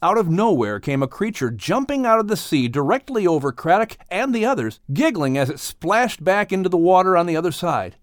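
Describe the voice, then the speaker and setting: A man narrating a children's audiobook story in a dramatic, expressive style. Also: high-pitched, brisk, hardly any pauses, no echo, clean studio sound.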